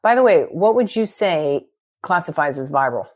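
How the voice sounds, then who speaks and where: A woman's voice: sits low, animated, normal speed, darkish tone. A woman hosting an interview, affirming the guest and echoing her answers. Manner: affirming, encouraging, echoes answers. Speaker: interview host. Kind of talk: conversational interview.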